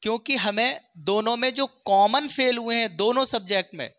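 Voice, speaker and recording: A maths teacher takes learners through exam problems one step at a time. The voice is bright and sharp, recorded dry with little room sound. Short questions punctuate the explanation to check that listeners follow. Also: mid-range voice, normal speed, dry recording.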